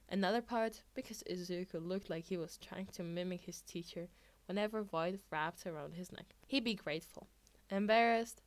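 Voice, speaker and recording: A woman reading fiction aloud in an expressive amateur audiobook style. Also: mid-range voice. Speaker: podfic reader.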